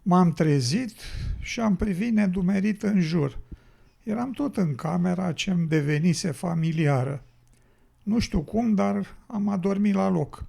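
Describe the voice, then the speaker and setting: Guy acting tired sick road worker in a radio play, really croaky husky high voice, kinda plaintive. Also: mellow, heavily acted. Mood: reflective narrating. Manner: plaintive, musing, storytelling. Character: weary, ailing male road builder, scornful of nature. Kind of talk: educational radio drama monologue.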